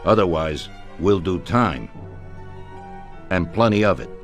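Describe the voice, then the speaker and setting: Middle-aged crime boss: low voice, deliberate and commanding, game drama with music underneath. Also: male voice, lively intonation, heavily acted. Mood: cold, commanding. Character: grave, commanding middle-aged male mafia don. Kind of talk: dramatic video game cutscene acting. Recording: music behind the voice.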